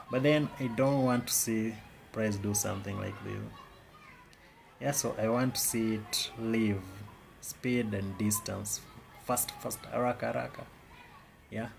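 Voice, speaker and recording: A man's voice: medium pitch, creaky, slight background noise. A man with a Kenyan accent giving casual live commentary on his trades, often repeating words and phrases. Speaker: day trader.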